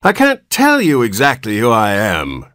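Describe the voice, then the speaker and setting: A man's voice: medium pitch, wildly swooping pitch, measured pace, fairly bright tone, no echo, clean studio sound, voice pushed up. A man voicing a querulous man of seventy from a storybook, in a cracked, weak, whining old voice. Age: 70s.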